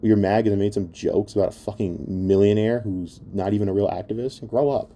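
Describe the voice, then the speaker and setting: A man's voice: fairly low pitch, steady tone, a little fast, dark tone, slight background noise. A man giving an opinionated commentary rant in a blunt, exasperated and scornful manner, swearing heavily. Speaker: online commentator.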